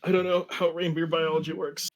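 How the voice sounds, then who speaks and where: A man's voice: a little high, level tone, brisk. A man chatting casually as a guest commentator, musing in a dry, hesitant way. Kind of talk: casual chat.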